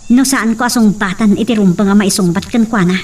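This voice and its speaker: A woman's voice: medium pitch, fairly quick, darkish tone, recorded from a distance, lightly acted. A woman playing a brave, earnest daughter in a melodramatic radio drama, defiant and ready to defend her honour.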